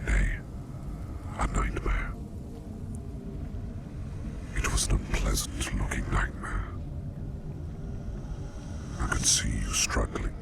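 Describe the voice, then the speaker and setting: A man playing a mysterious, formal plague doctor in an ASMR roleplay, whispering in slow, archaic phrases with a breathy, gravelly voice. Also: very deep voice, flat delivery, background noise, heavily acted. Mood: calm, soothing. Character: mysterious plague doctor hypnotist visiting a dream, formal, protective.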